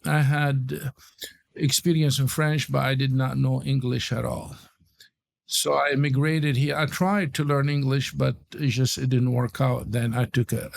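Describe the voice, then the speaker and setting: Lebanese guy in his 60s, gravelly, lots of uhs and pauses, telling his story. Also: medium pitch. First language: Arabic. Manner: halting, many pauses and fillers. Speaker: podcast guest. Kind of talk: interview storytelling.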